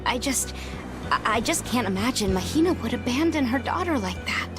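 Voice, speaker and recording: Game role: meek young innkeeper's daughter voiced by a woman, highish pitch, worried, halting, music underneath. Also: animated, close to an everyday voice. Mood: pleading. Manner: hesitant, pleading. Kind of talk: video game voice acting.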